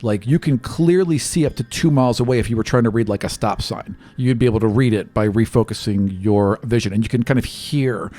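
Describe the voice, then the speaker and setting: A man's voice: medium pitch, a little fast, hardly any pauses, dark tone. A man narrating a tabletop role-playing game as game master in an improvised, conversational way.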